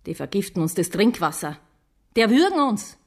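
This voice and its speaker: A woman's voice: mid-range voice, sing-song, a little fast. An actress playing a spiteful, hate-filled city woman who hisses and rants in agitation in a radio drama. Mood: spiteful, agitated. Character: venomous, hate-filled Berlin woman ranting in prejudice.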